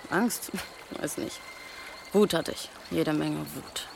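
A woman playing a fearless young archer in a German audio drama, blunt, brisk and defiant. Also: low voice, gravelly, a little hiss or hum, full character voice. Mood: reflective, defiant.

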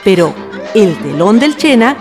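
A woman's voice: mid-range voice, sing-song, unhurried. A woman with a Chilean accent narrating a scripted radio drama as its host, in a storytelling style. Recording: music behind the voice. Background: music.

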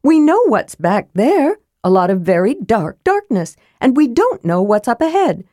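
Small male kender for a fantasy audiobook, played by a woman: high, animated, curious and childishly earnest. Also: measured pace, no room sound, clean studio sound. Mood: eager.

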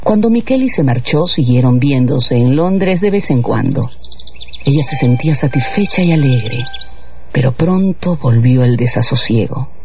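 A man's voice: medium pitch, expressive, normal speed, a little mellow. A man narrating a radio novel in a scripted, dramatic literary style. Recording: dull radio sound, music behind.

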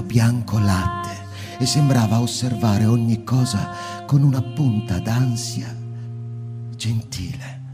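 A man playing a book-burning fireman in a radio drama, narrating intensely and slowly, then chatting with a neighbor. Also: on the low side, natural voice. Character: fireman who burns books, middle-aged man. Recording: narration over live music.

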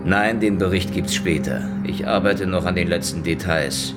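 An actor playing a hardboiled, cynical Soviet secret-police detective, talking in a dry, sardonic, probing way. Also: male voice, sits low, normal speed, loud music behind the voice, lightly acted. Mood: bargaining. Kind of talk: radio drama acting.

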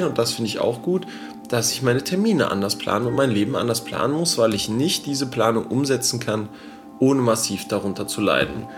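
A man's voice: mid-range voice, lightly acted. An actor in a radio drama playing a man of twenty-eight, keeping a rambling, self-deprecating audio diary in casual speech. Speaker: diarist trying out a self-help book.

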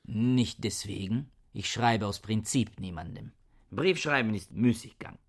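Radio drama role: curt, pedantic male scholar, low and husky. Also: a little slow. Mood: cold, irritable. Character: aloof, irritable, bookish male scholar. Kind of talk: German radio drama acting.

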